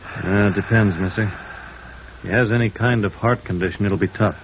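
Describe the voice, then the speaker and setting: Actor doing a low-voiced american ambulance doc, brisk and casual, muffled hissy old radio show. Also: male voice, fairly even intonation, close to an everyday voice. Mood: businesslike. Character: matter-of-fact male hospital intern on emergency call. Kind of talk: 1950s radio drama acting.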